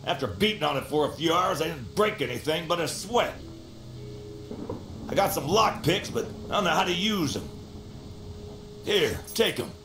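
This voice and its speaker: A man's voice: fairly high pitch, big pitch swings, moderate pace, long pauses, reverberant, music in the background, poor audio. A man voicing a cocky, plain-spoken junkyard worker in a video game, with a blunt, folksy, teasing manner. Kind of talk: video game character acting.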